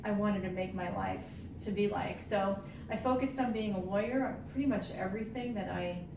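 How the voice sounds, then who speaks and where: A woman's voice: medium pitch, moderate pace, noisy background. A woman from Long Island, New York, telling personal stories casually and emphatically as an interview guest. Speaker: podcast guest, lawyer. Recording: echoey room, distant from the mic.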